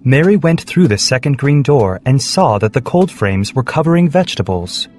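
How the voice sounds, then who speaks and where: A man's voice: mid-range voice, sing-song, moderate pace, darkish tone, slight background noise. A man narrating a scripted story for children.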